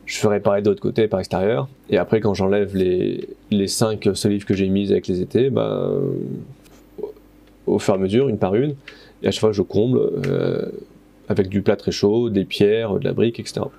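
A man's voice: on the low side, fairly even intonation, moderate pace, vocal fry, dark tone. A man in his thirties, a plasterer, narrating his stone-wall renovation casually, with many fillers and self-corrections, recorded on a head-mounted camera in a stone room. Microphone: head-mounted action camera.

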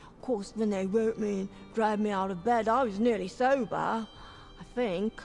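A woman's voice: mid-range voice, fairly even intonation, a little slow, vocal fry, darkish tone. A woman voicing a friendly, drunken young elf in a video game, rambling and muddled. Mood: boozy rambling. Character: tipsy, friendly young female elf wine-drinker. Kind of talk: video game character dialogue. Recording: music behind the voice.

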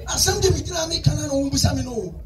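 A man acts an agitated, repetitive character in an open-air festival play. The voice is high and swings widely. It echoes over a distant PA with some music behind. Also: animated, very fast, a faint crowd. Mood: animated. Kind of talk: live outdoor stage skit acting. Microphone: handheld microphone, heard through distant camera. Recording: echoey PA from far off, faint music.

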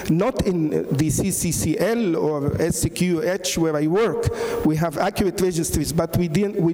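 A man's voice: high voice, warm tone. A middle-aged man with an Egyptian accent, speaking as a doctor on a conference panel into a handheld mic, hesitant with many fillers and restarts. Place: large conference hall on stage. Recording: echoey hall with long reverb.

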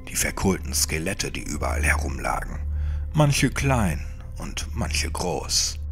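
A man reads a first-person horror tale like a dramatic audiobook. His low voice moves expressively up and down and has a gravelly grain. The delivery is brooding and intense, often dropping toward a whisper. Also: a bit bright. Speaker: horror story narrator. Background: music.

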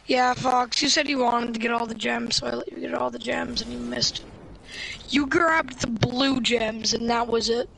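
A raspy young man chatting casually while streaming a game, moving between loud remarks and a whispery tone. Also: very high-pitched, fairly even intonation, normal speed, slight background noise, poor audio. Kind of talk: casual chat while gaming.

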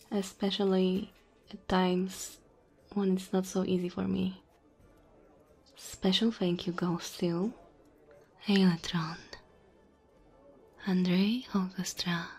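A woman reads out thanks as an ASMR creator. Her voice is soft, dark and breathy, often slipping into a whisper. She goes slowly and gently. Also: fairly low pitch. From Slavic Europe.

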